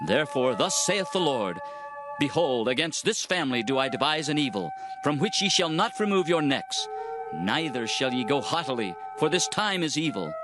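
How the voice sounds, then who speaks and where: A man's voice: mid-range voice, sing-song, normal speed, close to an everyday voice. A man playing an impassioned prophet in a dramatized scripture reading, declamatory and theatrical as he laments and warns his people, with music behind. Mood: urgent warning. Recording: music under the voice.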